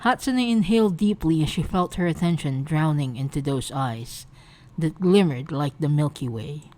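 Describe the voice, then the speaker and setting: Low-voiced woman narrating romance. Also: moderate pace, dark tone. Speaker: fan fiction narrator. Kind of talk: soft scripted romance narration.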